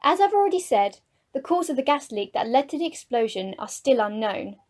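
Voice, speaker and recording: A woman plays an earnest on-the-scene news reporter in a radio drama. Her high voice moves with plenty of melody, and her wording is formal. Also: very animated, brisk, a little mellow. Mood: puzzled, curious. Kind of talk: mock outside-broadcast news report in radio play.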